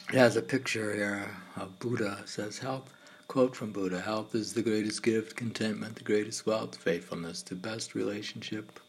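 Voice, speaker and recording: A man reading his own poems aloud in a halting, meditative way, with informal asides. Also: lowish voice.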